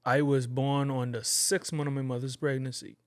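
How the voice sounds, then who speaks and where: A man's voice: medium pitch, moderate pace, no room sound, studio quality. A young Bahamian man, a podcast guest, telling stories in casual chat and often repeating his phrases. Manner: animated storytelling, repeats phrases.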